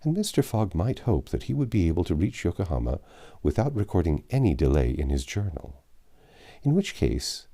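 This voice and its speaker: A man with a low, dark voice reads a classic adventure novel aloud. His delivery is expressive and measured, with lively intonation and dramatically stretched words. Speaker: audiobook narrator.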